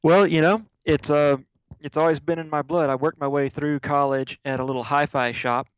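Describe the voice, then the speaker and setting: A man from Mississippi, a guest in an interview, telling stories in a relaxed way with many fillers over a video call. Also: on the high side, normal speed, clean tone, dry recording, muffled recording. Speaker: guest, audio software developer.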